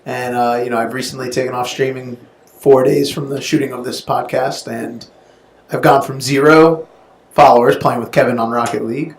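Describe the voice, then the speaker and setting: A man's voice: medium pitch, steady tone, moderate pace. A man in his twenties co-hosting a podcast with friends, chatting in a laid-back way and laughing often. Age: 20s. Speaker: podcast co-host. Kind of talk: casual chat among friends. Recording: echoey room with faint noise.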